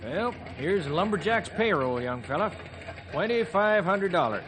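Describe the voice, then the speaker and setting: An actor playing a friendly, businesslike bank clerk in an old-time radio drama. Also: male voice, sits high, very animated, moderate pace.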